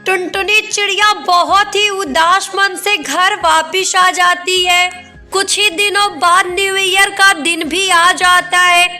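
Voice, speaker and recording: A woman's voice: very high-pitched, steady tone, takes it slowly, bright, forceful tone, faint music behind. A woman narrating a scripted children's cartoon story.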